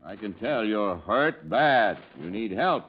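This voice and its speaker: An actor playing a steady, terse police lieutenant in a 1950s radio drama. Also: male voice, lowish voice, slow pace. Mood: urgent, reasoning. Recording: dull, noisy, reverberant old radio recording.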